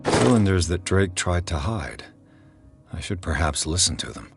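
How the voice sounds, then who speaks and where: An actor playing a brooding male investigator in a video game, thinking aloud in a gravelly voice. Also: low voice, sing-song, normal speed, over music, heavily acted. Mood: brooding, curious. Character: brooding male investigator examining occult clues.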